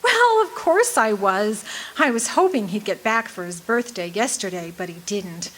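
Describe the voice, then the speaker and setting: A woman's voice: mid-range voice, lively intonation, moderate pace, a little hiss or hum, natural voice, low-quality recording. A woman playing an eager, lovestruck young country woman, earnest and girlish, in old-time radio drama style. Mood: hopeful.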